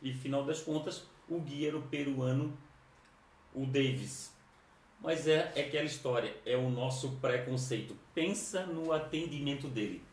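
A man's voice: medium pitch, moderate pace. A man with a southern Brazilian accent chatting casually on a livestream, informal and rambling, often repeating his phrases. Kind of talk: casual livestream chat, greeting viewers. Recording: echoey room, distant microphone.

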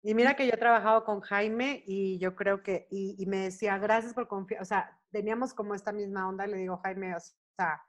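A Mexican woman in her forties speaking casually as an interview guest, telling stories in an animated way and acting out bits of dialogue. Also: mid-range voice, a little fast, few pauses. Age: 40s. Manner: animated storytelling, often acting out quoted dialogue. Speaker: guest performer, singer and actress.

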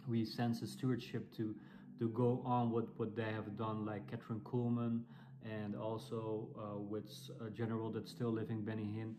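Halting Dutch-accented man, low and flat. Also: noisy background. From Netherlands. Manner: halting, many fillers and restarts. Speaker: ministry founder. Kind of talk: self-introduction to camera.

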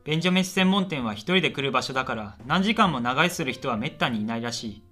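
A man's voice: medium pitch, expressive, a little fast, pushed and bright, soft background music. A man playing a shy, lonely university student in a radio drama, earnest and chatty in both dialogue and narration.

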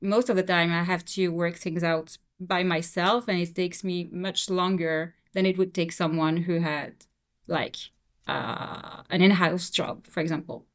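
A young woman whose first language is French, talking relaxed and casually as an interview guest, with many fillers. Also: lowish voice, measured pace. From Belgium. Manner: relaxed, frequent fillers and "you know". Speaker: guest translator.

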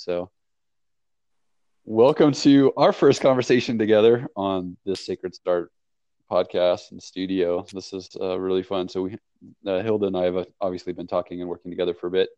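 A man's voice: sits low, dark tone, dry recording, highs cut off. A man hosting a podcast in a casual, meandering way, laughing often as he talks. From not Portugal, lives in Portugal. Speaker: podcast host. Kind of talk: casual conversational interview.

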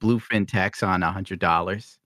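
A man with a lowish voice talks in a wry, matter-of-fact way. He is recorded close and dry. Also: steady tone, unhurried, a bit bright, dry recording. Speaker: podcast co-host. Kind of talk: casual chat.

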